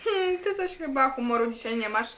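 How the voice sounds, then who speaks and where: A woman's voice: sits high, lively intonation, brisk, fairly bright tone. A young woman playing a talkative, bold party-lover in an amateur radio drama, gossiping in colloquial speech. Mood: gossipy, chatty. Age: young adult.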